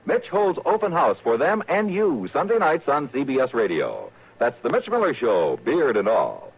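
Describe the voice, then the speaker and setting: Old radio announcer guy, american, huge swoopy pitch, playful, muffled w music. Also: huge pitch swings, normal speed, slightly rough. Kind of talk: 1950s radio promo, scripted.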